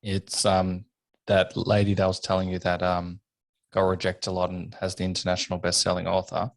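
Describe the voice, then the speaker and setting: Deep, gravelly man hosting a podcast. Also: completely flat delivery, a little fast, no room sound. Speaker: podcast host. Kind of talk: casual podcast interview.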